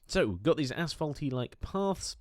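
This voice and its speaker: A man's voice: fairly high pitch, expressive, measured pace, darkish tone, no echo, clean studio sound. A man with a cold, nasal and chatty, talking over gameplay with lots of fillers. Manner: chatty, enthusiastic, rambling with fillers. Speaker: gaming YouTuber. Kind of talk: casual game commentary.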